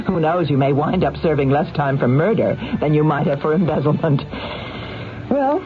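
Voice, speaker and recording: An actress playing a cold, calculating wealthy employer in a 1970s radio mystery, commanding and coolly persuasive. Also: female voice, sits low, expressive, a little slow, noisy background. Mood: hard bargaining. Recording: narrow-band vintage radio, music behind.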